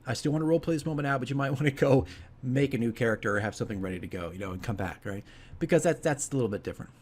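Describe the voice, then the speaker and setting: A man's voice: medium pitch, racing, darkish tone. A man hosting a casual video diary as a game master, explaining things conversationally and emphatically, often checking in with the listener.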